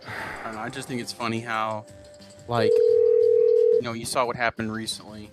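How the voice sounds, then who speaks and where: A man co-hosting a comedy show, giving deadpan, mock-serious commentary in a preachy, lecturing way. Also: medium pitch, normal speed, over loud music. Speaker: co-host.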